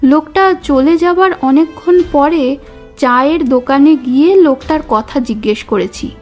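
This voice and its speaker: Woman acting a high-voiced, earnest young witness. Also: measured pace, a little mellow. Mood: earnest, careful. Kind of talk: audio story acting. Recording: music bed under voice.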